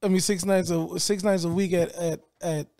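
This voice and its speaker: A young man with a low raspy voice, a rapper guest talking casually in a slangy, rambling way. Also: flat delivery, moderate pace, darkish tone, dry recording, studio quality. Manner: slangy, rambling, lots of filler. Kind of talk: casual podcast chat.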